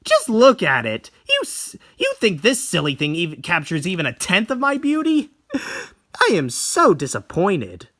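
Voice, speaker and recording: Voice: medium pitch, wildly swooping pitch, unhurried, a bit bright, pitched above the performer's own voice, full character voice. A performer voicing a snobby, beautiful young woman in a horror story, haughty and theatrical, drawing out words. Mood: mocking disdain.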